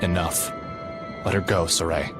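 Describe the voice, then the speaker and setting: A hard-bitten male fantasy game fighter, acted by a man, brooding and gruff. His voice sits fairly low and he talks in blunt, terse phrases. Loud music plays behind him. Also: steady tone, normal speed, lightly acted. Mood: grim, cold. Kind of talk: dramatic video game voice acting.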